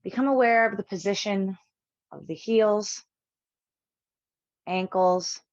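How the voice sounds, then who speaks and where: A woman instructor leading a guided yoga relaxation, speaking in measured, soothing short phrases with long pauses. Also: medium pitch, no echo, dull audio.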